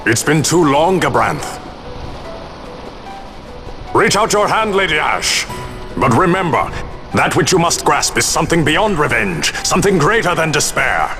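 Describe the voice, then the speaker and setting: An actor voicing a grave, world-weary former warrior in a video game, speaking solemnly in formal, archaic phrases. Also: male voice, very animated, unhurried, pauses often. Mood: earnest. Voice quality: deep. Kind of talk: dramatic video game voice acting. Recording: loud music behind the voice.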